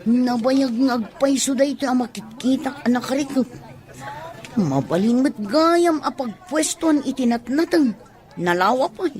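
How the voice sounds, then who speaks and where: A woman acts out a determined wife in a radio drama. Her voice is fairly high, and she talks in a practical, affectionate way. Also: animated, measured pace, a little mellow, a faint crowd. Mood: affectionate, pleased. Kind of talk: Ilocano radio drama acting.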